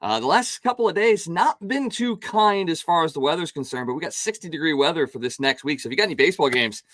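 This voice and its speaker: Hoarse raspy guy hosting sports talk, chatty and upbeat, slightly high voice, dry close sound. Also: lively intonation, fast talker, a bit bright, no echo. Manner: chatty, upbeat, with frequent restarts. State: hoarse from calling games. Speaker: sports talk show host.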